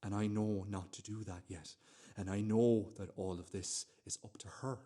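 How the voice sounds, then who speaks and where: Male actor as nervy ordinary man confiding in a radio play monologue. Lowish, husky, halting, self-correcting. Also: steady tone, dark tone, lightly acted. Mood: hushed, careful. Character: nervy, self-justifying, sweary man confiding domestic life.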